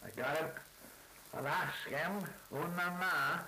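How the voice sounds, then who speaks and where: An older man working through words with a speaker of another language, repeating each one back slowly and asking plain questions. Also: fairly high pitch, sharp tone, a little hiss or hum, poor audio. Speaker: interviewer learning native words. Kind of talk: word elicitation session.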